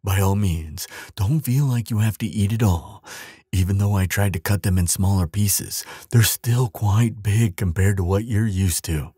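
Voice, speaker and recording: A huge, tender giant acted out by a man with a very deep, heavily husky voice. He speaks slowly and soothingly, doting on the listener as in an intimate audio roleplay. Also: warm tone, dry recording, clean studio sound. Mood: doting, gentle. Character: huge gentle male giant, tender and caring. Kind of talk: audio roleplay acting, softly spoken to the listener.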